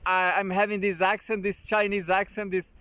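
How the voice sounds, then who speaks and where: A man hosting his own channel, casually reading an article aloud with halting restarts and joking asides. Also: high voice, level tone, sharp tone, clean tone, dry recording.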